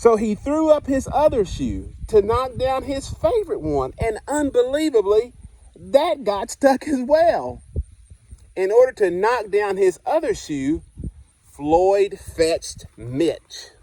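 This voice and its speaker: A man's voice: sits high, very animated, slow pace, dark tone, low-quality recording. A man, a school principal, reading a children's picture book aloud expressively, with asides to the listeners.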